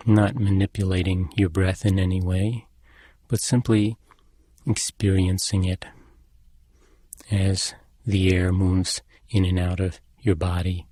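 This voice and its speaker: A man leading a guided meditation as an instructor, speaking in a calm, measured and gently instructing manner. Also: low voice, slightly rough.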